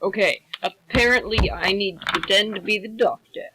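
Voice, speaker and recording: A woman's voice: mid-range voice, moderate pace, noisy background. A woman chatting casually and self-deprecatingly with friends over an online voice call.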